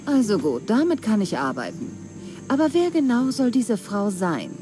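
A woman voicing a commanding, menacing pirate queen in a video game, speaking deliberately and with threat. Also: medium pitch, sing-song, close to an everyday voice. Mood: measured, curious. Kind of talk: video game cutscene dialogue. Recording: music behind the voice.

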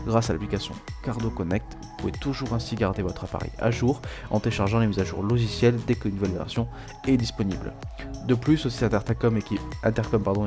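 Low-voiced man narrating over music. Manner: reading aloud with stumbles and self-corrections. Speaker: product review presenter. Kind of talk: scripted product review narration. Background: background music.

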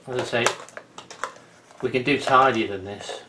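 A man's voice: mid-range voice, unhurried, heavy background noise. A man in his fifties, hosting a casual bushcraft tip from his home office webcam, chatty and folksy, muttering as he carves. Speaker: bushcraft channel host. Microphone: webcam on desk.

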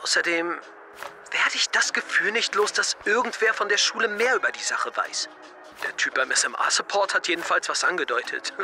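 Brusque teenage boy, very bright voice. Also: high-pitched, lively intonation, heavily acted. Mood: insistent. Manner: brisk, blunt. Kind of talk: audio drama acting.

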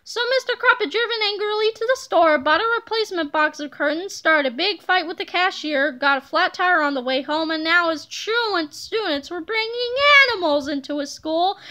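Female storybook reader for children; very high pitch, bright, forceful tone. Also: lively intonation, moderate pace. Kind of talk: children's book read-aloud.

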